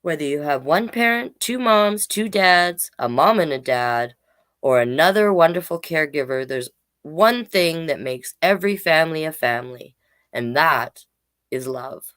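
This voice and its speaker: Slow, low-voiced woman reading stories. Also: expressive, a bit bright. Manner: slow, deliberate read-aloud delivery with chatty asides. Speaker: drag king storytime host. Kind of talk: children's story reading with casual chat to online viewers.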